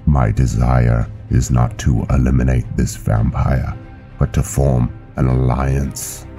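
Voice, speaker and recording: A man playing a stern, honor-bound vampire knight officer in a game roleplay, speaking in a formal, solemn, measured way. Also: extremely low pitch, little pitch movement, gravelly, dark tone, soft background music, exaggerated voice. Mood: solemn, calculating. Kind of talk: scripted roleplay narration and game dialogue.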